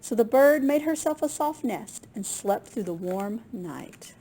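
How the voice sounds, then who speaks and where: A woman reading a children's story aloud as an expressive storyteller. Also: fairly high pitch, measured pace, slightly rough, rough recording.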